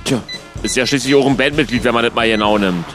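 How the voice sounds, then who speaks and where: A man in a radio comedy who delivers his lines dry and matter-of-fact, in deadpan banter. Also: medium pitch, quick pace, faint music behind. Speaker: band member in a radio comedy.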